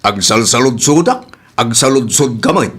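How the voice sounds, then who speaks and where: A man's voice: mid-range voice, animated, moderate pace, a bit bright, husky. A man playing an irritable, teasing husband in a comedy radio drama, with a Filipino accent and bantering drawl. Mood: irritated.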